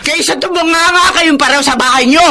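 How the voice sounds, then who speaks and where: Sharp, scolding Filipino mother-in-law. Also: very high-pitched, fairly quick, slight background noise. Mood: angry, scolding. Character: stern, scolding older grandmother and mother-in-law. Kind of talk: Filipino radio drama acting.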